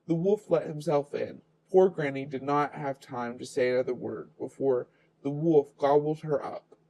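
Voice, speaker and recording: A man's voice: on the high side, dark tone, rough recording. A man reading a children's fairy tale aloud as the storyteller.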